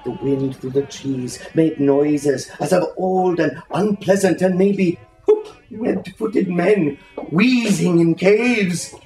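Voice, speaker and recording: A man reads a literary story aloud in a dramatic, lyrical way. He takes his time over the phrases. The room adds a clear echo around his fairly high voice. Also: warm tone. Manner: dramatic, lyrical, unhurried delivery. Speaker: storyteller reading aloud at a live reading. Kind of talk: expressive literary story reading. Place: indoor reading in a bookshop. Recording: roomy echo, faint music behind.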